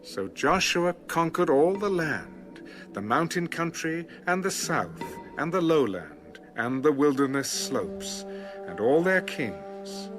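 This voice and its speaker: A man reading scripture as a scripted audio narration, in a measured, solemn storytelling manner. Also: fairly high pitch, big pitch swings, over music.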